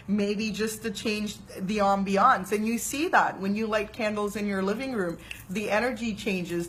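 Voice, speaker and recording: A woman talks through spiritual practices in an easy, conversational way, with a voice in the middle range. She is animated and chatty, drifting into fillers and quick "right?" check-ins as she goes. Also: medium pitch, a little hiss or hum, poor audio. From Trinidad and Tobago. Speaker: spiritual teacher. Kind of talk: casual spiritual talk to camera.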